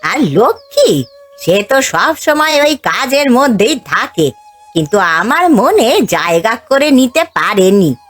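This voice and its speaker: A woman's voice: a little high, sing-song, slow pace, faint music behind, exaggerated voice. A woman playing a domineering older mother-in-law in a cartoon family tale, imperious and reproachful, partial to her favourites. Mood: doting, proud. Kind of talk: Bengali cartoon fairy-tale voice acting.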